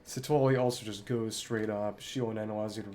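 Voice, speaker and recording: A man giving casual solo commentary as a game streamer, musing aloud in short, halting remarks. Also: fairly low pitch, fairly even intonation, mellow, a little hiss or hum.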